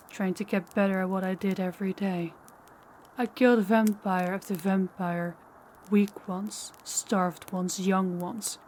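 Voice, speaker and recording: A woman's voice: medium pitch, lightly acted. Woman acting a taunting ancient vampire huntress-turned-predator, noisy recording. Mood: wistful, proud. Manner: slow, deliberate, taunting, dropping into whispers. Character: ancient, powerful female vampire, former huntress, teasing and menacing. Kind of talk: intimate audio roleplay acting.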